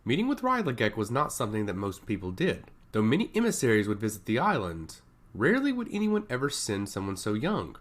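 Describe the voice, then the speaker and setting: A storyteller narrating scripted fantasy fiction in a mid-pitched voice with a lively, widely swinging intonation. The delivery is that of a book being read aloud. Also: a little fast.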